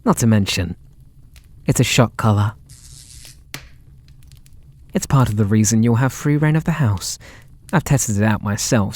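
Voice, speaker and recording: An actor with a British accent playing a possessive, lovestruck kidnapper in an ASMR roleplay, sweet and doting in a theatrical, intimate way. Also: male voice, fairly low pitch, a little mellow. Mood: smug, possessive. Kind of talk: ASMR roleplay audio drama.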